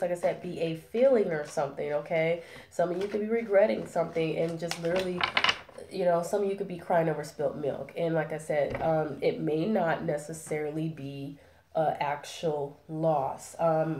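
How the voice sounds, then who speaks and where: A woman's voice: fairly low pitch, background noise. Nasal woman with a cold, echoey room. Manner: chatty, many fillers, frequent "okay?" check-ins. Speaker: tarot reader. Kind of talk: casual spoken tarot reading.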